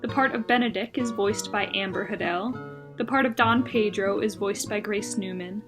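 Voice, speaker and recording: A woman's voice: medium pitch, normal speed, music in the background. A woman giving a formal, scripted theatrical introduction as the announcer of a radio play.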